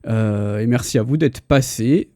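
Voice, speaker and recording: A man's voice: mid-range voice, measured pace, dark tone, dry recording, studio quality. A man, hosting a casual, slangy pop culture livestream chat with enthusiasm. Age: 30s. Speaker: host. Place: indoor livestream.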